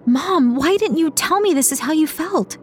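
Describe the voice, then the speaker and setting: A woman doing a high, strained young woman. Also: animated, moderate pace, a little hiss or hum. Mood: pleading. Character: anxious young woman, torn and pleading.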